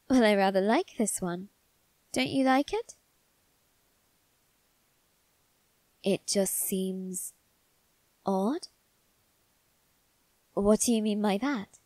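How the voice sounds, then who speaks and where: A woman voicing a doting, secretive young male vampire aristocrat in a soft, intimate ASMR roleplay, with refined, coaxing phrasing. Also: medium pitch, dry recording, natural voice. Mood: coy, evasive. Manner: coaxing, gentle, unhurried, refined phrasing with tag questions. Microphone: close, dry microphone.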